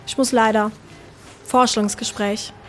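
Earnest, high-voiced young friend. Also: female voice, steady tone, a little slow, a bit bright, faint music behind. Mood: earnest concern. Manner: earnest, direct. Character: earnest, concerned young woman friend, practical and insistent. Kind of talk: German radio drama acting.

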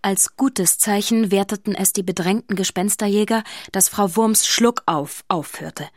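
A woman's voice: mid-range voice, a little slow, no echo. A woman narrating a scripted children's story in a studio, as the narrator of a radio play. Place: dry studio.

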